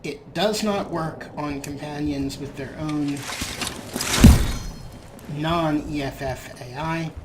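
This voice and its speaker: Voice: mid-range voice, slow pace, pauses often. A let's play host giving casual, wry game commentary and playfully talking to the characters. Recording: noisy, game ambience behind voice.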